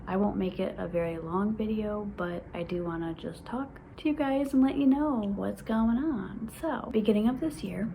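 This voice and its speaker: Young woman vlogger in her twenties with a stutter, casual and excited, light room noise. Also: fairly low pitch, creaky. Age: 20s. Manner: stutters, with repeated sounds and restarts; excited, laughs often. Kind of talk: casual vlog chat.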